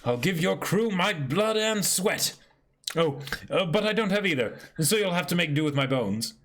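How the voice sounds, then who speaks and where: A man's voice: sits high, lively intonation, moderate pace, a bit bright. A man voicing a courteous, earnest skeleton pirate swordsman in a comic reading, speaking formally. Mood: eager.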